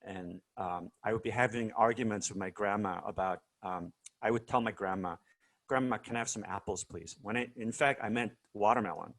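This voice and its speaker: A man's voice: fairly low pitch, a little fast, fairly bright tone. A man from South Korea being interviewed over a video call, telling stories casually with many fillers and restarts. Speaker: guest musician.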